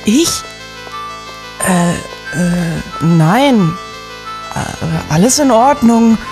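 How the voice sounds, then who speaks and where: A woman playing an earnest, easily spooked young male student detective in an audio drama, with a husky voice. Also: mid-range voice, animated, drawn-out words, music in the background, rough recording. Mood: shaken. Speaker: actor.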